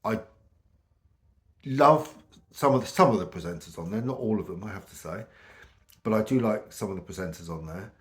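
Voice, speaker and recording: A middle-aged British man with a croaky voice from a cold, chatting casually to camera in a rambling way with many ums. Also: on the low side, lively intonation, normal speed, pauses often. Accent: British English. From United Kingdom. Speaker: vlogger.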